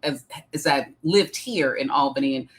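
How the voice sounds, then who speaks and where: A middle-aged woman from Detroit with a Midwestern accent, speaking as an interview guest in a measured, reflective way. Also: extremely low pitch. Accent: Midwestern American. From Detroit, Michigan. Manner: measured, reflective, many um fillers. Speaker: guest, executive coach. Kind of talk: interview conversation.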